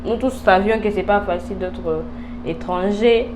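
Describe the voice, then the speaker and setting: Female TV interview host, Congolese French accent, average pitch. Informal, hesitant, code-switching questions, with clear noise behind the voice. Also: normal speed. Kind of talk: casual TV interview, French mixed with English.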